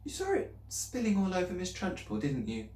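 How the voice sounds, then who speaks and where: A man reading aloud and voicing a small, bright, earnest girl who asks eager, pleading questions. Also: sits high, wildly swooping pitch, moderate pace, voice pushed up. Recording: roomy, distant mic.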